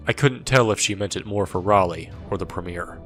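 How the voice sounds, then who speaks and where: Man as a low-voiced, sardonic soldier narrator. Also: brisk, close to an everyday voice. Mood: wry. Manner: sardonic, irreverent. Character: wry, world-weary male soldier recounting his war. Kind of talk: first-person audio drama narration. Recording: music under the voice.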